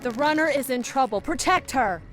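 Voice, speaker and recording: A woman's voice: high voice, expressive, normal speed, music in the background. A woman playing an anxious, loyal elven soldier, deferential and alarmed, in a video game. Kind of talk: dramatic video game cutscene acting.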